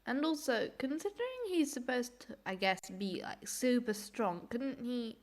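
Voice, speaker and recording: A woman reacting casually to clips in a rambling, self-deprecating way, her voice hoarse and giving out from a cold. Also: a little high, lively intonation, measured pace. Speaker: host reacting to cartoon clips.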